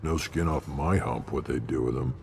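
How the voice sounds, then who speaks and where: A man voicing a surly, hostile alien clan soldier in a video game, gruff, blunt and sardonic, with a gravelly voice. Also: normal speed. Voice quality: very deep, gravelly. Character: surly, hostile alien clan scout commander, grudgingly helpful. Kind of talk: video game character acting. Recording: long hall reverb, faint ambient noise.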